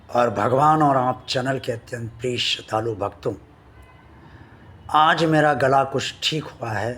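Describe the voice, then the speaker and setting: Slow, earnest devotional man, slightly hoarse. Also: medium pitch, a bit bright. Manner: slow, earnest and devout, addressing viewers formally. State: recovering from a sore throat, voice not at full strength. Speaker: devotional channel host. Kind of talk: devotional talk to viewers.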